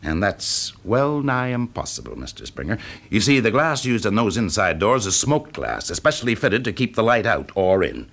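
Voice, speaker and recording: Radio drama lead: genial, probing English fire investigator, low register, animated delivery, quietly commanding. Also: male voice, normal speed, creaky, heavily acted. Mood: coolly confident. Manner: polite, probing, confidently in charge. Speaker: actor playing the lead. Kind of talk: classic radio drama acting.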